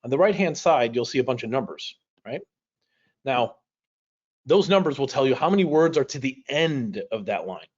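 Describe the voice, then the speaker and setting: A man's voice: medium pitch, animated, moderate pace. Dull-sounding male online instructor, native Polish speaker. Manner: conversational, addresses viewers directly with questions. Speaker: speed reading instructor. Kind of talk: livestream lesson, casual explaining. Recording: dull, lo-fi livestream audio.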